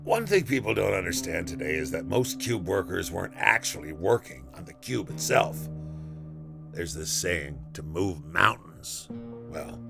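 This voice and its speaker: An actor playing a weathered, foul-mouthed older former labourer, reminiscing wryly in a gravelly voice in an audio drama monologue. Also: male voice, low voice, heavily acted. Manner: conversational, hesitant pauses, dry chuckles, swearing.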